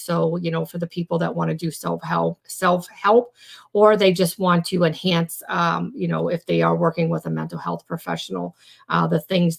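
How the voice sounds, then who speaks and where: A woman with a low, hoarse, gravelly voice hosts a casual live stream as a trauma coach. She rambles through her points, full of ums and restarts, sounding worn out from days of recording. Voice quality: raspy. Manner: rambling, many fillers and restarts. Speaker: trauma coach hosting a weekly live show. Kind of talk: informal live-stream teaching.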